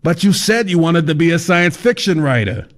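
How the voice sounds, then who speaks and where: A man's voice: a little high, expressive, normal speed, pitched above the performer's own voice, natural voice. A man voicing a rotund, pushy writing mentor who talks in a showy, theatrical way.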